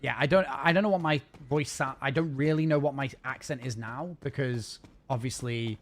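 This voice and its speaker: A man chatting casually and rambling while live-streaming a game from home. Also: medium pitch. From north of England. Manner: chatty, rambling, fast-talking. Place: home gaming setup.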